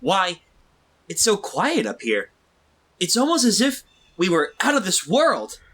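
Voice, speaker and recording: A man's voice: high-pitched, huge pitch swings, a little slow, fairly bright tone, breathy edge, full character voice. Man playing an eager young assistant, swooping voice. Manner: earnest, pleading. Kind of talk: 1940s radio drama acting.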